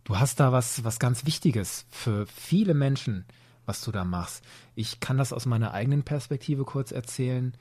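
A man's voice: mid-range voice, lively intonation, normal speed, a little mellow, no echo. A man in his forties hosting a podcast, chatting casually and enthusiastically and laughing often. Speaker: podcast host. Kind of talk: casual interview chat.

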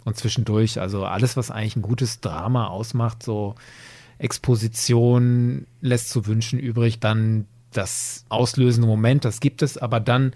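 A man's voice: on the low side, dark tone, no room sound. A man hosting a podcast, giving his opinions analytically in a conversational review discussion. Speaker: podcast host.

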